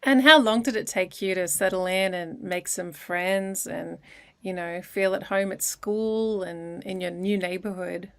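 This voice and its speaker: A woman's voice: fairly low pitch, unhurried. A woman hosting an interview, asking curious follow-up questions in a conversational way. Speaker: podcast host.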